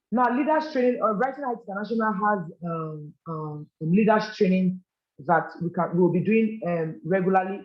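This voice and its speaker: A man giving a business leadership training, earnest and coaching, often checking in with "all right?". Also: sits high. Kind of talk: live training presentation to a group. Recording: roomy, muffled lo-fi recording.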